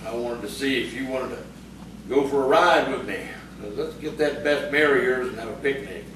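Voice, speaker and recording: A man's voice: mid-range voice, normal speed, fairly bright tone, lightly acted. A man playing a brash, teasing young country horseman and rival suitor in audio theatre. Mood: hearty. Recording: distant, very echoey, noisy stage recording.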